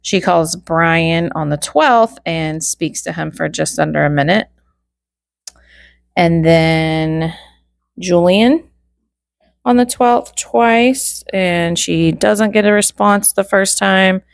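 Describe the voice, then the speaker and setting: A woman with a fairly low voice full of vocal fry gives informal true crime commentary. She works methodically through a log, one entry after another, slipping in wry remarks. The sound is dry, with very little room around it. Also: takes it slowly, dry recording. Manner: methodical, item-by-item recital with wry asides.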